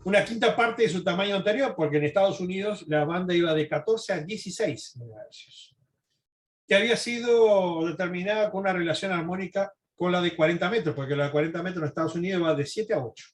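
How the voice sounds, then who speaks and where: A man's voice: high-pitched, fast talker. A man lecturing informally from notes, explaining as he goes and adding asides and rhetorical questions.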